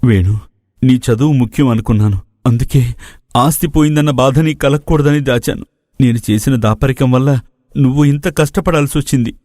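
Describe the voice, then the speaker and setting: Male actor voicing anxious farmer father, loving, hesitant delivery, mid pitch, soft dark timbre, audio story. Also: moderate pace, dry recording, clean studio sound, close to an everyday voice. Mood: sorrowful.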